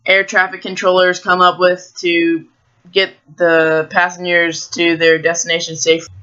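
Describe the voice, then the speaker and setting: An American teenage high school student giving an explanatory school presentation. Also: bright, forceful tone, clean tone, dull audio.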